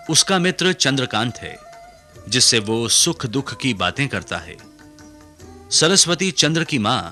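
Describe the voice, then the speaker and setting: Animated, bright-voiced male narrator. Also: mid-range voice. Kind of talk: scripted story recap narration.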